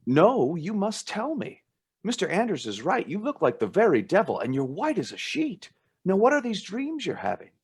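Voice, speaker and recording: An actor playing a protective, teasing older brother in a radio play, speaking in an emphatic, insistent way. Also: male voice, high voice, huge pitch swings, moderate pace, slightly rough, exaggerated voice. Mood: concerned, insistent. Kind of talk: radio drama acting.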